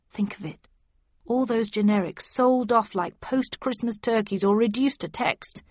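A woman's voice: mid-range voice, breathy edge, no room sound, highs cut off, natural voice. Woman reading as earnest, conflicted male detective. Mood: reluctant, burdened. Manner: earnest, protesting, rhetorical.

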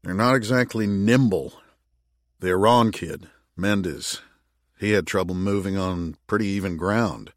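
A man's voice: low voice, a little slow, dry recording, studio quality. A man acting a blunt, sardonic working man. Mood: dry, matter-of-fact. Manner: blunt, sardonic, grunting retorts. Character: gruff, skeptical working man in a future moon colony. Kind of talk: audiobook character dialogue.

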